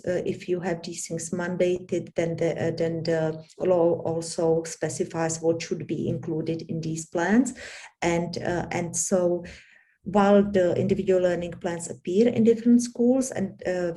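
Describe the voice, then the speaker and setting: A woman with a Czech accent giving a panel presentation, halting often with fillers and restarts. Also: on the low side, dark tone. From Czech Republic. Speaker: academic panelist.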